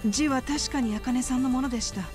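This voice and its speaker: An actress voicing a polite, composed middle-aged orphanage worker with a worried, formal way of speaking in a video game cutscene. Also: female voice, high voice, a little fast, over music, natural voice.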